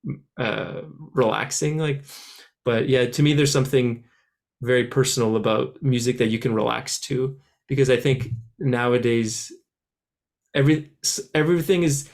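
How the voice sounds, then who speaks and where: A man's voice: mid-range voice, moderate pace, vocal fry. A man born in France and raised in Canada talks as an interview guest. He rambles loosely, restarting his sentences and scattering filler words as he goes. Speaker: musician guest. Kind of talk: casual interview answers.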